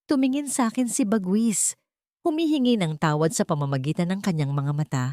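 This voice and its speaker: Calm woman narrating a drama story. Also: medium pitch, fairly quick, no echo, clean studio sound. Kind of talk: scripted first-person storytelling.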